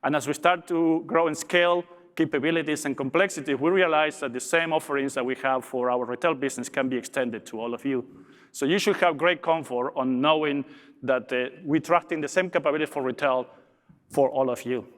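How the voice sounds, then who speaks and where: A man's voice: on the high side, fairly quick, a bit bright. A man from Argentina with an Argentine Spanish accent, giving an upbeat conference presentation as a logistics director. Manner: upbeat, with small restarts. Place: large conference hall. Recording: long reverb of a big hall.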